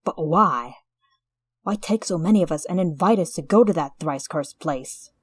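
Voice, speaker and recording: A woman voicing a female dwarf who gently explains her people's customs. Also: low voice, lively intonation, moderate pace, husky, dry recording, studio quality. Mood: wary.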